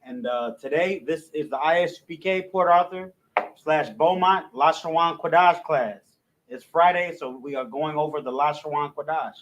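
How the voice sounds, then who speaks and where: A man teaching a language class, speaking with frequent restarts and fillers, and reading out an alphabet letter by letter. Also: fairly high pitch. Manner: halting, many restarts and fillers. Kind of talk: classroom lesson, reciting alphabet.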